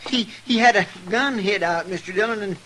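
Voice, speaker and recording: A sharp, fairly high male voice as a loyal frontier sidekick with a rural American twang. He rambles, hesitates and frets in a folksy way. The vintage radio western recording carries faint noise. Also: brisk, low-quality recording. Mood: flustered, calling out. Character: folksy, talkative, anxious, loyal deputy sidekick. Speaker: radio actor. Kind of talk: old time radio western acting.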